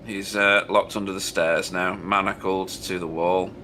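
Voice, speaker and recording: Bright-voiced joking race commentator, a man. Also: low voice, level tone, unhurried, a little hiss or hum. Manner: chatty, self-mocking, joking. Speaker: sim racing commentator.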